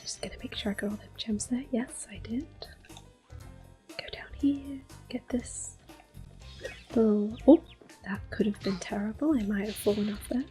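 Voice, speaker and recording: A woman softly whispering gentle, unhurried ASMR commentary over a video game, with a breathy, hushed voice. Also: medium pitch. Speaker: gameplay host. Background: game music.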